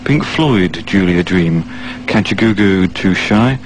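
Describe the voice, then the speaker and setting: A man working as a radio DJ, announcing records in a measured, slightly hesitant way on a 1980s radio recording. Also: low voice, lively intonation. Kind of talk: music radio presenting. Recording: 1980s off-air radio aircheck.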